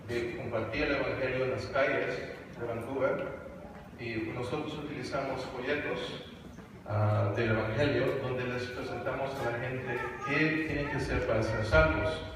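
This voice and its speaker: A young man raised in Canada giving a halting testimony to a church congregation, full of fillers and restarts. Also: medium pitch, steady tone. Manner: hesitant, many fillers and restarts. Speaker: guest speaker on evangelism. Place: large church hall. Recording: echoey hall from far off, noisy.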